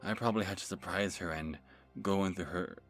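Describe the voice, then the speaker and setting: A man playing a nervous, creepy lovestruck stalker telling a first-person horror story in an ASMR manner, halting and stammering as he corrects himself. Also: low-pitched, fairly bright tone. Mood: nervous, obsessive. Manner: halting, stammering, breathy sighs and self-corrections. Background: music.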